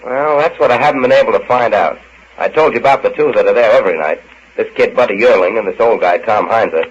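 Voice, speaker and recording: An actor in an old-time radio drama playing an eager, casual informant on a muffled recording. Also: male voice, fairly low pitch, fast, a little hiss or hum, lightly acted. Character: eager married male informant, cocky but nervous.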